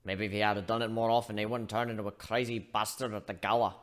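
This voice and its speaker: A man voicing a gruff, grumbling pirate shipbuilder with rough colloquial speech, in a dramatic reading. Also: on the low side, flat delivery, fast, voice pushed down, natural voice. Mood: relaxed grumbling. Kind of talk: dramatic reading of fan fiction.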